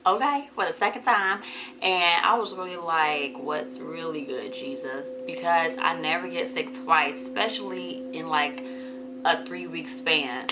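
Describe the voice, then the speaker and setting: A woman with a hoarse voice from a cold, chatting casually to viewers in a haul vlog. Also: measured pace, background noise. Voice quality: deeper than usual. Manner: chatty, addresses viewers as y'all. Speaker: vlogger.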